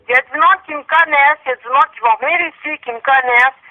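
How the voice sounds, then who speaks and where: A woman's voice: high voice, rapid-fire, a loud crowd. A woman with a Quebec French accent on the telephone, defensively arguing and repeating herself. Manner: defensive, repetitive. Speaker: person receiving a confrontational call. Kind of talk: argumentative phone conversation. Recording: dull, lo-fi telephone line.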